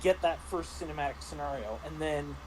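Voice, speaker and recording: A man in a casual review discussion, talking haltingly with repeated words and false starts. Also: on the high side, a little mellow, slight background noise, rough recording. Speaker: panelist reviewing a tabletop roleplaying game.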